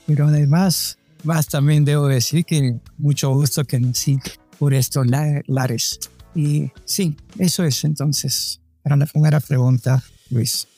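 Older guy, gravelly low-key voice, lots of ums and restarts, soft music under it. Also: fairly even intonation, a little slow. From Panama. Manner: hesitant, many restarts and fillers. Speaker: guest. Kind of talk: relaxed interview answers.

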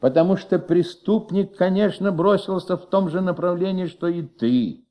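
An actor in a Soviet radio play playing a patient, shrewd middle-aged police commissaire with flu, questioning gently in a husky, creaky voice. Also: male voice, high voice, normal speed, lightly acted. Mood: earnest, explaining. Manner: gentle, coaxing, paternal questioning.

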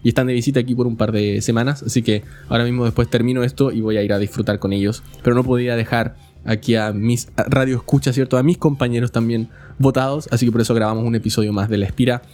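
A man's voice: medium pitch, steady tone, quick pace, a little mellow. A man from Chile with a Chilean Spanish accent hosting a casual esports podcast, explanatory and given to joking. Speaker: podcast host.

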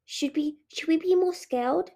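A woman's voice: extremely high pitch, unhurried, gravelly, mellow, pitched above the performer's own voice, exaggerated voice. A woman voicing a group of chattering baby dragons who talk in small, piping, childish voices and trip over their words. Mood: chatty. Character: chattering little baby dragons, cheeky and curious.

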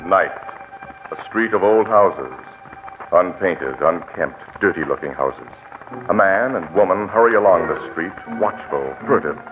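A man's voice: low-pitched, lively intonation, measured pace. A man announcing and narrating in formal, dramatic 1940s radio style. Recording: narrow old radio broadcast with music behind.

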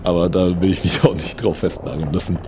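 A man's voice: low voice, steady tone, fairly quick. A man hosting a casual, improvised audio play, talking in a colloquial style with exclamations. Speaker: podcast host and game leader. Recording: clear vehicle-like background noise.

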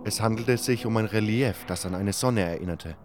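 A man's voice: fairly low pitch, normal speed. A man reading scripted first-person fantasy narration as an audiobook narrator. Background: music.

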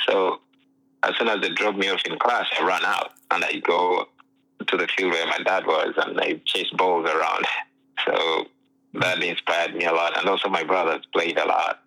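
Deep gravelly man from Uganda. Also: moderate pace, poor audio. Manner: relaxed storytelling with many "you know" fillers. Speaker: guest, pro soccer player. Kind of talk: casual interview chat.

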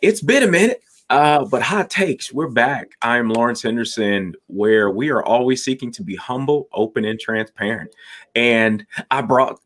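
A man's voice: mid-range voice, unhurried, a bit bright. A man hosting an interview show, enthusiastic and chatty, introducing his guests and stacking up questions. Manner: enthusiastic and chatty, restarts sentences. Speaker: podcast host.

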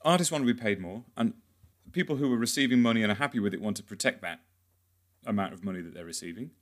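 A man's voice: lowish voice, quick pace. A man hosting a podcast, putting questions in a conversational, tentative way and rephrasing as he goes. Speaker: podcast host, interviewer. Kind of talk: conversational interviewing.

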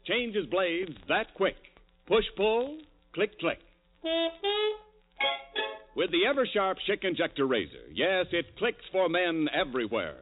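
Male announcer, 1940s radio ad, animated, punchy, raised pitch, thin phone-like old sound, music behind. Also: slow, long pauses. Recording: tinny old radio broadcast.

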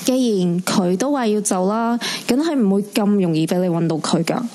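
Mellow, bossy clinic assistant, acted by a woman. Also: mid-range voice, animated, moderate pace, lightly acted. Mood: blunt, indignant. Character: brash, bossy female clinic assistant and friend. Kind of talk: Cantonese live radio drama acting. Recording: hissy, faintly noisy recording.

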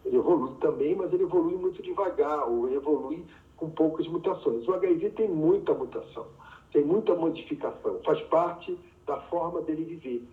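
A man's voice: on the high side, moderate pace. Man, physician guest, patient teacherly explaining, phone-quality sound, roomy echo. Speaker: physician and pathology researcher, podcast guest. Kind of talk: explanatory interview answer. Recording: distant, echoey, phone-like remote audio.

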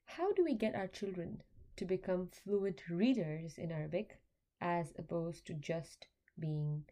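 A young woman, a graduate student, hosting an educational podcast, explaining in a measured way and speaking directly to parents. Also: lowish voice, warm tone. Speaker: podcast host; graduate student in applied linguistics. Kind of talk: scripted educational podcast talk.

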